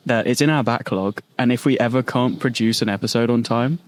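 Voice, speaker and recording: A young man from the UK talks in mid-range pitch with eager, slangy enthusiasm. He jumps into topics and keeps the chat loose. He is a podcast co-host. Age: young adult. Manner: enthusiastic, slangy, quick to laugh. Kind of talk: casual chat.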